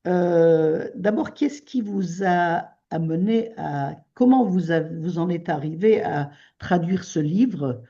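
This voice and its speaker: Gravelly, husky-voiced radio host. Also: mid-range voice, warm tone, dull audio. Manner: hesitant, many pauses and fillers. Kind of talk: radio interview.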